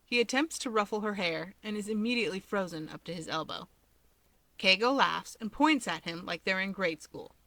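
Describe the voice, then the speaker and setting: Bright-voiced woman reading a story. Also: mid-range voice, animated, normal speed, no room sound. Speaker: fan fiction reader. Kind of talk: expressive scripted story narration with character voices.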